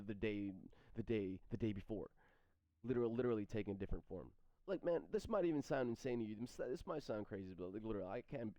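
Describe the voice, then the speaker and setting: Man, thirties, low voice, halting stream chatter full of restarts; dry, dull home-mic sound. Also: no echo. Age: 30s. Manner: halting, repeats words and restarts often. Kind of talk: rambling stream chat answering chat questions.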